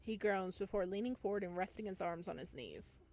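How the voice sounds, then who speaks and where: A woman's voice: medium pitch, fairly quick, a little mellow, soft background music. A woman reading a visual novel aloud expressively as a let's-player, with casual asides, on a close home microphone.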